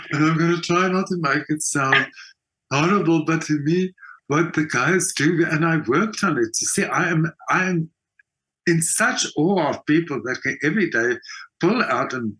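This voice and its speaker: A man's voice: a little high, a little slow, fairly bright tone, slightly rough, poor audio. A South African man speaking emphatically as a conversational interview guest, repeating phrases for stress. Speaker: interview guest, theatre performer and mentor.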